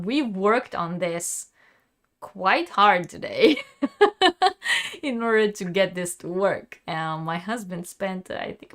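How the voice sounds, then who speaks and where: A sick woman hosts a live crochet class, her smooth, resonant voice sounding worn from a sore throat. She talks in a chatty, looping way, restarting sentences and answering viewers' questions as they come in. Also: medium pitch, fairly bright tone. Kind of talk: live-stream crochet lesson with chat questions.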